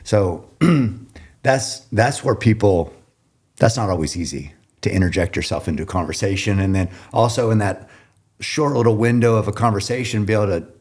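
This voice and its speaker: A man's voice: lowish voice, normal speed, a little mellow, slightly rough. A middle-aged man hosting a podcast interview, talking in a loose, rambling way, often restarting his sentences and laughing. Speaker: podcast host. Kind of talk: casual interview chat.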